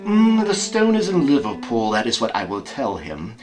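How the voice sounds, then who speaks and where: A man acts a villainous colonel who leads a gang in an audio play. He sounds conspiratorial and impatient, with clipped urgency and a lively, shifting pitch. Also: moderate pace, music in the background. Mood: scheming. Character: scheming, tense villainous colonel, leader of crooks. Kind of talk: amateur audio drama acting.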